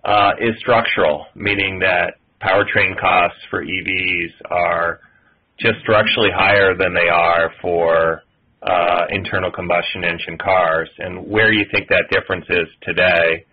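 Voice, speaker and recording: A man asking long, detailed, measured questions as an analyst on an earnings conference call. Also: sits low, steady tone, slightly rough. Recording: muffled, tinny conference phone line.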